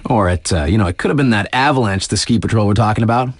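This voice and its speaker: An actor playing a laid-back, wry radio DJ at a snowboard resort, chatting in slangy, conversational announcements for a game. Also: male voice, lowish voice, very fast, no room sound, close to an everyday voice.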